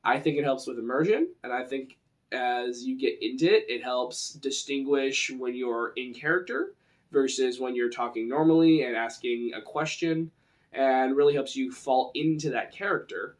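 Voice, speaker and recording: A man's voice: mid-range voice, moderate pace. A man presenting an informal tutorial on role-playing to camera, talking in a casual, explanatory way.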